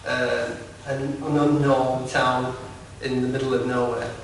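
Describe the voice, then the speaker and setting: A man with a broad Lancashire accent giving an informal campaign speech at a political meeting, halting and repeating words, with wry asides. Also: medium pitch, level tone. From Lancashire, England. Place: large echoey meeting hall. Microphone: distant from the recording device. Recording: echoey hall recorded from far off with noise.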